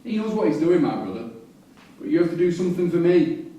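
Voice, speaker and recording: A man plays a cocky, scheming male inmate with a high, very animated voice. He needles in matey slang. It is a live stage recording, heavily echoing and far from the mic. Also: fast talker. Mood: calculating, persuasive. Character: scheming, streetwise male prisoner, cocky fixer. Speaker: actor in a staged reading. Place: theatre room at a live staged reading. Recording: very echoey hall, distant mic, noise.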